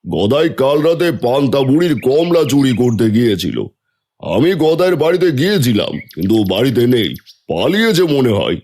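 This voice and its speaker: Stern, expressive village headman. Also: mid-range voice, a little fast. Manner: admonishing, warning. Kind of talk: Bengali fairy-tale cartoon acting.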